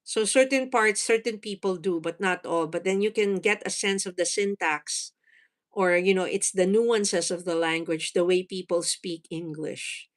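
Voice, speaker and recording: A woman's voice: lowish voice, normal speed. A woman in her forties from the Philippines, a native Tagalog speaker, hosting a casual livestream, reading comments aloud and laughing often. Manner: chatty, laughs often, thinks aloud with fillers. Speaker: livestream host.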